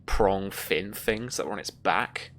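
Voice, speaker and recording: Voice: deep voice, unhurried, fairly bright tone, slight background noise. A game master narrating a tabletop role-playing game in a conversational, descriptive way.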